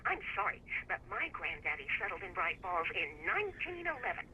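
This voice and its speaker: A woman's voice: medium pitch, big pitch swings, fairly quick, pushed and bright. A woman playing a prickly, self-righteous small-town caller on a radio phone-in in a video game, indignant and snobbish. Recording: noisy radio phone-in call.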